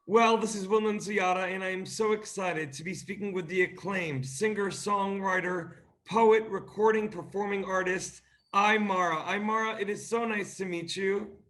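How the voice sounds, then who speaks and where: A man's voice: high-pitched, fairly even intonation, moderate pace, fairly bright tone. A man hosting an interview, effusive and eager, heaping praise on his guest. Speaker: interview host.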